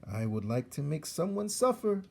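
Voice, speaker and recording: A man's voice: on the high side, very animated, moderate pace, creaky, pitched above the performer's own voice, full character voice. A man voicing an eager, impressionable young male rat in a storybook, earnest and full of wonder.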